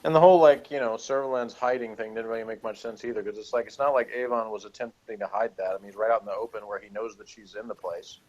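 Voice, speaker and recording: A man's voice: lowish voice, fast, few pauses, darkish tone, highs cut off. A man chatting casually and offhandedly as a co-host of a review show, in a dry manner. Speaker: podcast co-host reviewing a TV episode. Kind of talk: casual chat.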